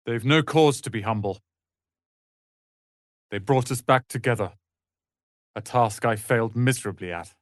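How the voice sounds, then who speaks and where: An actor voicing a proud, prickly young wizard's apprentice in a fantasy video game, haughty, theatrical and sharp-tongued. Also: male voice, mid-range voice, measured pace, pauses often, no room sound, studio quality, natural voice. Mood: polite, self-important.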